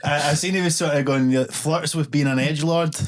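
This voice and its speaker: A man's voice: a little high, fairly even intonation, a little fast. A Scottish man hosting a podcast, chatting casually, laughing often and restarting his sentences. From Scotland. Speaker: podcast host. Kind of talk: casual chat.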